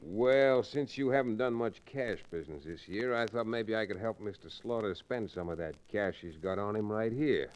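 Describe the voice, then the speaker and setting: A man's voice: fairly low pitch, normal speed, hardly any pauses, clear, resonant tone, natural voice. A man playing a cool, wry frontier hero with a folksy drawl in an old-time Western radio drama. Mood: dryly confident. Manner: calm, dryly taunting, folksy.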